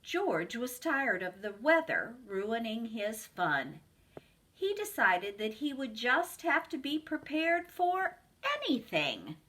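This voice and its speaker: Slow, lively storybook-reading woman. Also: on the high side. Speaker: preschool teacher. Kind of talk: reading a picture book aloud to children.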